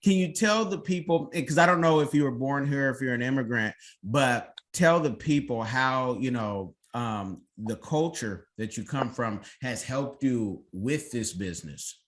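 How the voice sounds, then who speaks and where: A man's voice: mid-range voice, measured pace, hardly any pauses. A man hosting an interview podcast, chatty and enthusiastic, affirming his guest's answers. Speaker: podcast host.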